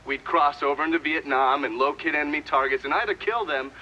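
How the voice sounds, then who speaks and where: Sharp, forceful ex-spy witness. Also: male voice, on the high side, fairly quick, a little hiss or hum, poor audio. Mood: dry, assured. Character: cocky former spy testifying, matter-of-fact and unrepentant. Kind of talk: video game documentary-style testimony acting.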